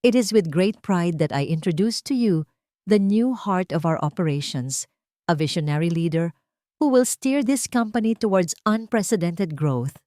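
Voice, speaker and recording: A woman voicing a confident, powerful rich businesswoman who gives a loud, formal speech in an audio story. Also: on the low side, expressive, a little slow, no echo, studio quality. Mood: commanding.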